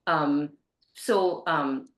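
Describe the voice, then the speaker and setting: Low-voiced woman in an echoey room. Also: drawn-out words. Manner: hesitant, many fillers and restarts, earnest. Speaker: literacy nonprofit co-founder, guest. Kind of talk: interview answer.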